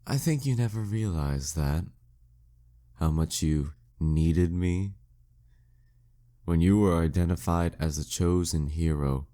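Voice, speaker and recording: Man acting a very deep, slow, teasing demon king. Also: dark tone, heavily acted. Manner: teasing, smug, slow deliberate delivery. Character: flirty, dominant, taunting demon king villain. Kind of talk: intimate scripted audio roleplay monologue.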